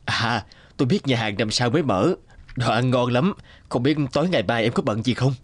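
A man playing a smooth, insistent middle-aged company boss in a cartoon, suave and authoritative. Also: medium pitch, a little fast, dry recording, natural voice. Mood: flattering.

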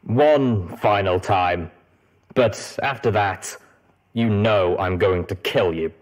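Guy playing a gruff griffin merc lady, low and sardonic, audiobook. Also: slow. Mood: grudging.

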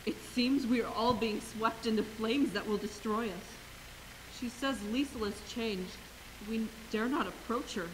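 A young woman acting a resolute, compassionate Mother Superior in a stage play, with earnest, deliberate delivery. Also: medium pitch, lightly acted. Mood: solemn, grieving. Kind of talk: amateur stage acting in a high school play. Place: theater stage, indoors. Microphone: distant camera. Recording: hissy stage recording from audience camera.

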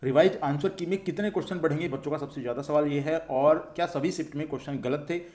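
A man talks quickly in a slightly high voice, like a coach briefing students. He poses questions and answers them reassuringly, often repeating the point in an informal explainer style. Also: warm tone. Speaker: exam-update coach and channel host. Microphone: voice-over for a screen recording.

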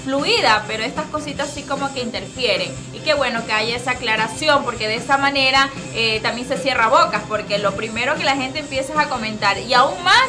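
A young woman co-hosting a TV studio show, explaining her views in a measured way with some hesitations. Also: high-pitched. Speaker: TV co-host. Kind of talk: studio commentary. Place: TV studio set with sofa. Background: background music.

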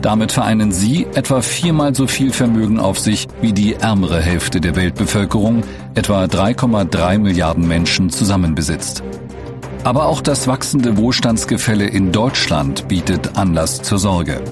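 An actor playing a grave, measured documentary narrator reading a script. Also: male voice, lowish voice, expressive, over music, lightly acted. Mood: grave, factual. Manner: serious, measured. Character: sober, grave male documentary-style narrator.

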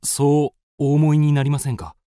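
A man's voice: on the high side, little pitch movement, normal speed, warm tone, smooth, no echo, clean studio sound, lightly acted. An actor playing a courteous, wistful and persuasive male traveller in a radio drama, polite and poetic. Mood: earnest, wistful.

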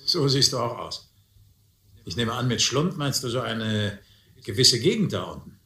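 An actor playing a wry, educated guardian in a radio play, articulate, ironic and mock-stern. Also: male voice, medium pitch, sing-song, normal speed, bright, forceful tone. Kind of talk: German radio play dialogue. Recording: echoey, slightly noisy audio drama recording.

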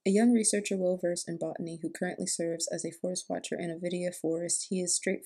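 A woman's voice: lowish voice, steady tone, quick pace, warm tone. A young adult woman giving casual reaction commentary as a video host, indoors at home. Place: small room at home, indoors. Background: game trailer music.

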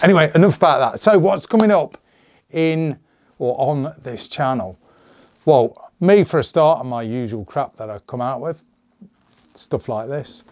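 Middle-aged host, slightly hoarse, chatty and rambling, dry close mic. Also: male voice, mid-range voice, darkish tone, no room sound. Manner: chatty, rambling, jokey asides. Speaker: channel host. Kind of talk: casual to-camera channel update. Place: small indoor gun room.

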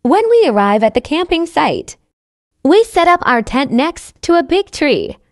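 A woman reading a scripted story for language learners, with careful enunciation and identical, measured phrasing. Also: sits high, very animated, no room sound, studio quality. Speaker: narrator of English learning stories.

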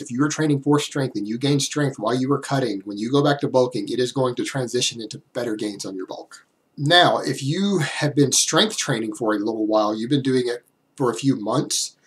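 A man in his thirties, a little hoarse from a cold, explaining fitness science directly to a webcam in a small room at home. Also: medium pitch. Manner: direct, explanatory, talks with hands. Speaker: fitness YouTuber. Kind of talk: explanatory vlog to camera.

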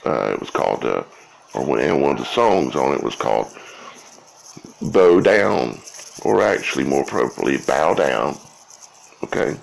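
A man giving an informal explanatory monologue in a halting way, repeating words and often checking in with "okay?" or "right?". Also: extremely low pitch, big pitch swings, gravelly, poor audio. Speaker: video creator.

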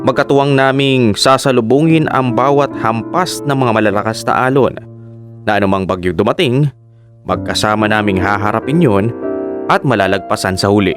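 A man's voice: fairly low pitch, moderate pace, close to an everyday voice. A Filipino man playing a fisherman husband, narrating his life story in an earnest, sentimental radio drama style. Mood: grateful, resolute. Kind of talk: scripted first-person radio drama narration. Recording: piano music bed behind the voice.

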